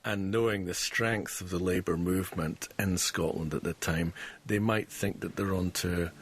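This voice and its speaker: A man with a low, husky voice speaks as an expert researcher. He explains historical findings in interview style for a radio documentary. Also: fairly even intonation, moderate pace.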